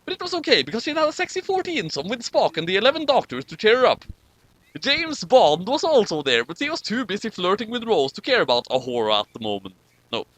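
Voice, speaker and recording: A man reading comic fan fiction aloud with exclamatory, animated delivery and amused asides. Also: high voice, fast talker, no room sound.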